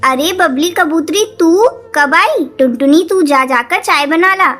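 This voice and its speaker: A woman's voice: very high-pitched, a little slow, fairly bright tone, faint music behind. A woman voicing a caring, agreeable mother bird in a children's cartoon, motherly and indulgent. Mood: friendly. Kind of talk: Hindi children's cartoon voice acting.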